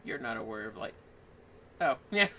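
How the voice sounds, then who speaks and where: Bright-voiced man chatting over a game. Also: medium pitch, sing-song, brisk. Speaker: livestream co-host. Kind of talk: casual game commentary. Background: game music.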